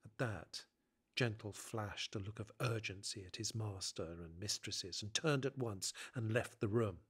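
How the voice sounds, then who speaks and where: A man narrating an audio drama in a literary, measured, suspenseful way. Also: on the low side, a bit bright, husky, full character voice. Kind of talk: dramatic scripted story narration.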